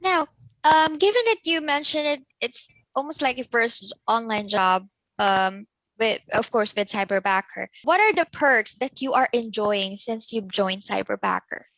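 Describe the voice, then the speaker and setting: A woman's voice: fairly high pitch, unhurried, a bit bright, no room sound, rough recording. A woman interviewer from the Philippines asking a guest a series of questions.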